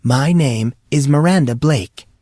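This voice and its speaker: A man's voice: medium pitch, a little slow, mellow, dry recording, clean studio sound, voice pushed up, lightly acted. A man voicing a sly, poised young woman teacher with a girlish undertone, speaking in a cool, formal, knowing way. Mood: poised, commanding.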